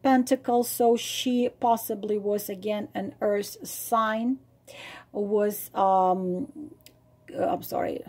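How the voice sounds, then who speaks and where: Slow, husky woman reading tarot. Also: medium pitch, steady tone. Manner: emphatic, repeats phrases for emphasis; drops to a soft breathy near-whisper at times. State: losing her voice. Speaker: tarot reader and psychic. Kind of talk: spontaneous spoken tarot reading to viewers.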